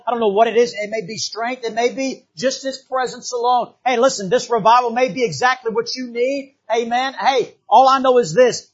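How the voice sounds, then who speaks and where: Man, from the Bahamas, church preacher, fervent, repeats words, husky voice. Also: high-pitched, a bit bright, dull audio. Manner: fervent, repetitive, calls of amen. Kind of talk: revival sermon.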